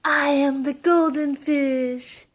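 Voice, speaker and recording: A woman voicing a magic golden fish in a bedtime story, slow and solemn. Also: high voice, steady tone, pitched above the performer's own voice. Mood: grand.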